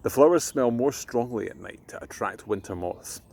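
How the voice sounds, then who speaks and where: A man voicing a gentle, knowledgeable mother who explains things patiently in a storybook. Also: mid-range voice, expressive, normal speed, gravelly, slightly rough.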